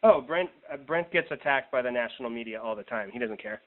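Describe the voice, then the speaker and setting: A man's voice: medium pitch, lively intonation, fairly quick. A man with an American accent explaining plans in a confident, informal way during a casual phone conversation. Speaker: political organizer explaining a plan. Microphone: telephone. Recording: tinny recorded phone call.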